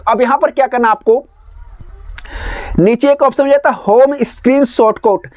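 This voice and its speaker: A man's voice: very high voice, moderate pace, a little mellow, no echo. A man narrating a step-by-step phone tutorial in a repetitive, instructional way. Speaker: tech tutorial host.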